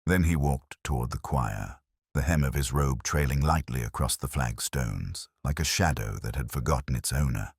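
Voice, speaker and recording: A man reading a scripted first-person mystery story in a reflective, solemn, deliberate, melancholy manner. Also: very deep voice, breathy edge, dry recording, studio quality. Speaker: narrator of a detective mystery story.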